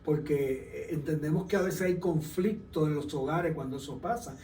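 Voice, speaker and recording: High-voiced male show host, roomy. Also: moderate pace, warm tone, a little hiss or hum. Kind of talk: interview hosting. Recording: roomy, distant mic.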